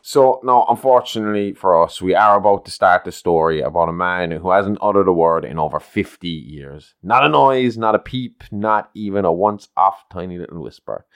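A man hosting a podcast in casual chat, joking and swearing freely, with a deep voice on a close podcast mic. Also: normal speed, hardly any pauses, smooth, no room sound. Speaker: podcast host.

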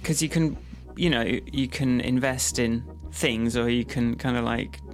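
A man hosting a podcast in a loose, casual chat. He rambles, jokes and laughs often, in a voice of ordinary middle pitch. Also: unhurried, faint music behind. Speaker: podcast host.

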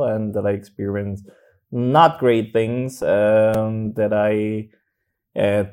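A man from South Korea with a Korean accent, speaking haltingly as a guest in a conversational interview. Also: on the low side, warm tone. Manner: halting, hesitant phrasing with many pauses. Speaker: podcast guest, kink instructor. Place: studio room, in person.

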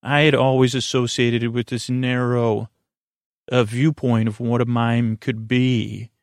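A man hosting a bedtime podcast, talking in a meandering, halting way with stammers and tangents, meant to soothe. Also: mid-range voice, little pitch movement, dry recording, studio quality. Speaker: podcast host.

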